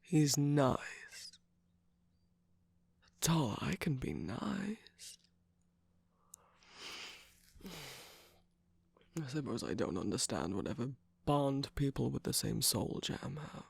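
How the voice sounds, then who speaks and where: A man voicing a smug, teasing villain's henchman in a romantic ASMR roleplay, breathy and gravelly, drawling and dropping into whispers close to the mic. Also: mid-range voice, lively intonation, slow pace, no room sound, exaggerated voice. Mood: annoyed, jealous. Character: teasing, jaded, smug male villain's minion cookie. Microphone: close microphone, dry sound.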